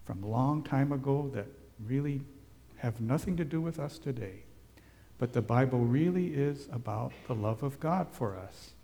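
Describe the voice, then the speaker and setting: A man who grew up in Hawaii, giving a gentle children's sermon as a pastor and asking the children questions. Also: medium pitch, creaky. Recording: long hall reverb.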